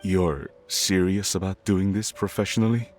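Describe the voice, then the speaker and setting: An actor playing a gruff middle-aged father in a video game, reticent but tenderly proud, speaking haltingly and sincerely. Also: low-pitched, fairly even intonation, soft background music, close to an everyday voice. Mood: earnest, awkward. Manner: halting, sincere, slightly awkward.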